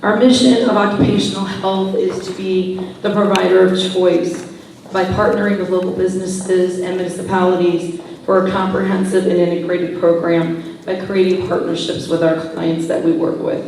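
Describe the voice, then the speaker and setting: A hoarse woman with a cold giving a polished, formal hospital presentation at a public board meeting. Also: on the low side, little pitch movement, moderate pace, darkish tone, a little hiss or hum. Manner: polished, promotional delivery. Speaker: hospital occupational health presenter. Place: village board meeting room. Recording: echoey hall, picked up from far off.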